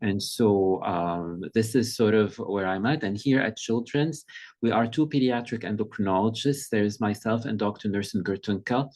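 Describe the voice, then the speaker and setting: A middle-aged man from Lebanon speaks over a video call, presenting as a pediatric endocrinologist. His voice sits a little low, and his delivery is conversational and measured. He often pauses to gather his thoughts mid-sentence. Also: a little mellow. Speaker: pediatric endocrinologist giving a talk. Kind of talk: informal presentation.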